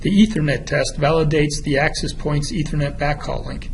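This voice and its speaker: A man's voice: on the high side, normal speed, gravelly, a little hiss or hum. A man presenting a product demo in a methodical, explanatory instructional style.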